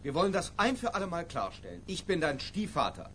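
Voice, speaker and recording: A man's voice: on the high side, sing-song, a little fast, a bit bright, a little hiss or hum. An actor playing a controlling middle-aged stepfather in a radio drama, formal and lecturing, with an awkward edge.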